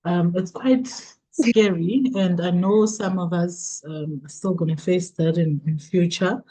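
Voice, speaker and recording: A woman with a South African accent hosting a group discussion in measured phrases with pauses. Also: fairly low pitch, warm tone, rough recording. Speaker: book club host.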